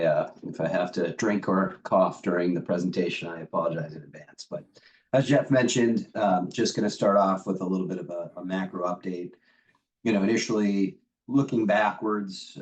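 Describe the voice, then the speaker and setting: A man with a cold speaks in a very deep voice, a little off the mic. He presents a webinar macro update and reads the figures off his slides. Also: darkish tone, far from the mic. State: has a cold, voice deeper than usual. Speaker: financial analyst giving a macro update.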